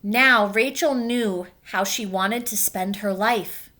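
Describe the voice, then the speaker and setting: A woman's voice: mid-range voice, slow, sharp tone. A woman with a mid-range voice reads aloud as a teacher would to a class of young children. She moves between the text of the book and her own explanations, keeping her wording simple and speaking directly to her pupils.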